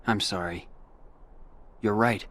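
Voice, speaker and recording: A man's voice: fairly low pitch, steady tone, takes it slowly, a bit bright. An actor playing a reserved, brooding teenage boy hero in a video game, protective and self-blaming, in a cool, understated, serious manner. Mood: regretful.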